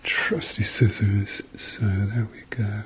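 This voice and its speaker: A man speaking softly to the listener as a doctor in an ASMR medical roleplay, murmuring reassuringly and often slipping into whispers. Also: on the low side, flat delivery, slow pace, a little hiss or hum. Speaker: ASMR performer playing a doctor.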